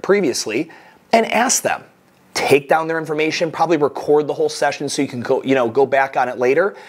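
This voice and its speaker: A middle-aged man giving a recorded slide lesson as a course instructor, coaching in a plain, direct way through lists of tips. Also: on the high side. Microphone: webcam inset over slides.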